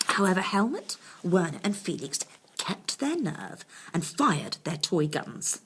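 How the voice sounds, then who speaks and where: A woman's voice: lowish voice, sing-song, unhurried, a bit bright, husky. A woman reading a children's bedtime story expressively, with confiding asides to her young listeners.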